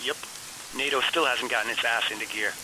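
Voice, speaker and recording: A curt, foul-mouthed soldier heard through a radio, his voice very bright and cutting. Faint hiss sits under his clipped words. He sounds unimpressed and matter-of-fact. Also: mid-range voice, fairly quick, poor audio. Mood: blunt.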